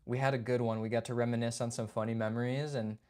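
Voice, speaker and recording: A man's voice: mid-range voice, little pitch movement, quick pace, a little mellow. A young man hosting a casual livestream chat. He reads viewer comments, greets people by name and keeps saying "man" and "dude". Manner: laid-back, chatty, says "man" and "dude". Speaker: livestream host.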